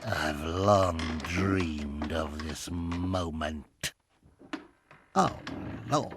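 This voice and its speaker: A man's voice: deep voice, extremely slow, heavy background noise. A man voicing a pompous, sneering evil sorcerer, a theatrical villain in a fantasy video game. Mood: haughty, scornful.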